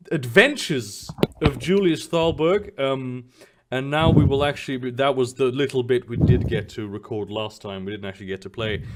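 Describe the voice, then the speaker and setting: Animated man running a tabletop game. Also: medium pitch, noisy background. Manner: rambling, animated, reads mission briefs aloud. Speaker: game master.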